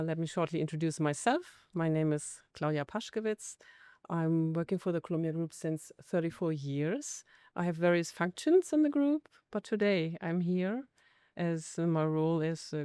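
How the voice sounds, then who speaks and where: Host, woman in her 50s, measured delivery, smooth lowish voice, dry close mic. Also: dark tone, no room sound. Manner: measured, with pauses and fillers. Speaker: podcast host. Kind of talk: corporate podcast conversation.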